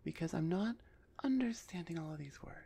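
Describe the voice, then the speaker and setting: A woman voicing a gruff female minotaur executioner in an ASMR roleplay, husky and often half-whispered, impatient and sardonic with her captive. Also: on the low side, animated, brisk, mellow, full character voice. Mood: baffled.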